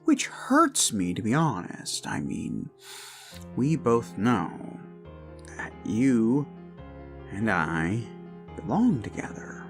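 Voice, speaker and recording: A man playing an obsessive, possessive boyfriend in an ASMR roleplay, creepily sweet and theatrical, coaxing with pauses and drifts into whispers. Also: on the low side, huge pitch swings, over music, full character voice. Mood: possessive, hurt.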